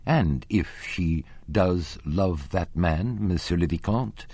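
Storybook elderly guardian lady, played by a male narrator: protective, indignant, emphatic, husky. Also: sits low, takes it slowly, mellow, dry recording, full character voice. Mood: protective, firm.

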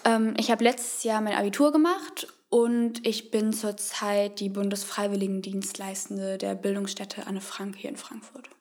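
A nineteen-year-old woman joins the discussion with a lively delivery. She often pauses to find the right words. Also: medium pitch. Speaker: volunteer, panelist. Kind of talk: casual discussion.

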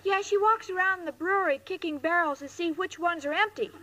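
A woman's voice: extremely high pitch, a little fast. A woman playing a naive, dim-witted, dreamy young woman with guileless earnestness in a 1940s radio comedy. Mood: earnest. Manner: guileless, wide-eyed, earnest. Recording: faintly noisy old radio recording.

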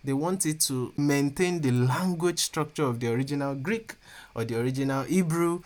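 A man whose first language is Yoruba, speaking English with a Yoruba-influenced accent and explaining things in a measured, conversational teaching way, with frequent restarts and "um"s. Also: a little high, a little mellow.

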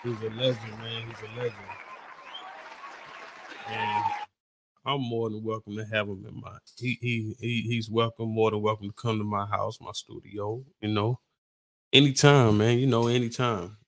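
A man's voice: lowish voice, flat delivery, moderate pace. A man hosting a podcast interview, hyped and casual, packing his questions with "like" and "come on, man.". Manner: hyped, filler-heavy, lots of "like" and "come on, man". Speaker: podcast host.

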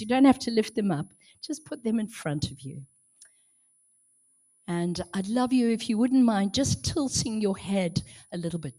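A woman from South Africa with a hoarse, strained voice, speaking as a guest preacher in church, chatty and self-joking between gentle prayers. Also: mid-range voice. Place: church hall, in front of a congregation.

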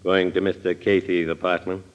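An actor playing a big, soft-spoken, vengeful killer posing as a policeman, lisping and politely deliberate, in an old radio crime drama. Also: deep voice, flat delivery, lo-fi sound, close to an everyday voice. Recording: noisy old radio recording.

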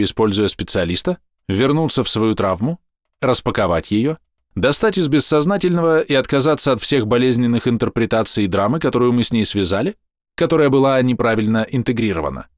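A man reading a scripted explanatory voice-over in measured, deliberate short phrases, close to the microphone. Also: medium pitch, dry recording. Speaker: narrator.

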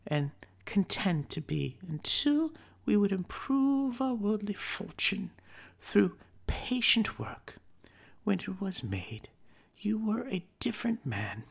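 A woman's voice: medium pitch, creaky, breathy edge, heavily acted. An actress playing a young woman who earnestly and sadly ends an old engagement. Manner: earnest, soft, sorrowful.

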